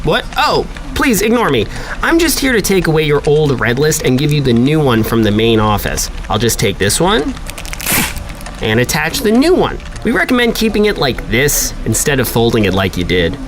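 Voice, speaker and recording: An actor playing a smooth, falsely cheerful office supervisor who brushes off questions. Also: male voice, on the high side, big pitch swings, moderate pace. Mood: breezy. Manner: breezy and dismissive, brushing off questions. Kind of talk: audio drama acting.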